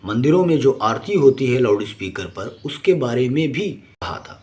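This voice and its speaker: A man's voice: medium pitch, big pitch swings, moderate pace, a little mellow. A man narrating a scripted explainer in an emphatic, didactic manner. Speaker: presenter.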